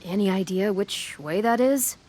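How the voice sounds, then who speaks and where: A woman playing a tough, guarded teenage girl survivor in a video game, with measured, understated delivery. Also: medium pitch, a little mellow, natural voice. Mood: relieved. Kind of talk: naturalistic video game voice acting.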